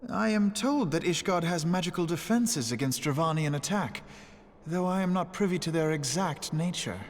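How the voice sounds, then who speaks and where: A man playing a wary, sceptical advisor in a fantasy game, speaking in measured formal phrases in a reverberant hall with background noise. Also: fairly high pitch, lively intonation, close to an everyday voice. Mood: guarded concern. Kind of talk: scripted fantasy game cutscene acting.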